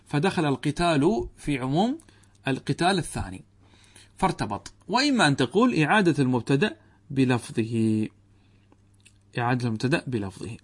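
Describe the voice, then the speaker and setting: A man's voice: medium pitch, big pitch swings, a little fast, long pauses, husky. Arabic grammar teacher lecturing his class. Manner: explains by asking the class questions and answering them; addresses students directly, checks understanding.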